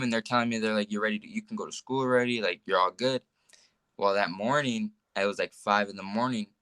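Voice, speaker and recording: A teenage boy tells casual stories in a crisp, fairly low voice. He rambles and peppers his talk with "like". The sound is close and dry, with a bright edge. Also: no room sound. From United States. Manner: rambling, says "like" very often. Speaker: guest, young social media influencer. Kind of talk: casual chat, telling personal stories.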